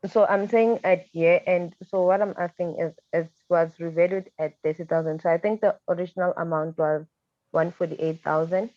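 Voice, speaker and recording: A woman's voice: fairly low pitch, steady tone, moderate pace. A woman speaking as a student, tentatively asking her tutor questions and often checking she is understood, on dull, roomy online-call audio. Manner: tentative, checks with a tag "right?". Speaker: accounting student.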